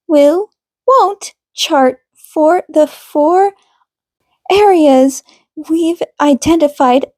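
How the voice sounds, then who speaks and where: Composed female therapist, high and deliberate, voiced by a woman. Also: a little mellow, no echo, full character voice. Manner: formal, deliberate, clinical phrasing. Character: composed professional female couples therapist, measured and probing. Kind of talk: audiobook character dialogue.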